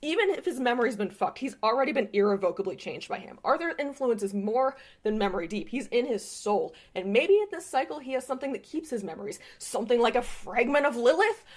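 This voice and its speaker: A woman's voice: fairly high pitch, expressive, quick pace, hardly any pauses. A woman delivering an impassioned fan-theory monologue as a voice message, dramatic and emphatic, with some swearing. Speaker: listener sending a voice message.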